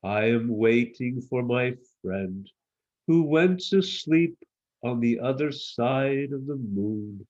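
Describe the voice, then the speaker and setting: A lonely moon elephant from a children's tale, performed by a man. The speech is slow, sad and wistful, and the recording is slightly muffled. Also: mid-range voice, animated, a little mellow.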